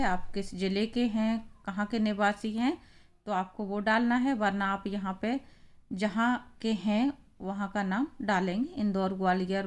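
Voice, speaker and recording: A woman with an Indian accent teaching in a deliberate, explanatory way, as in an instructional lesson. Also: medium pitch. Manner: deliberate, explanatory, reading phrases out then translating them.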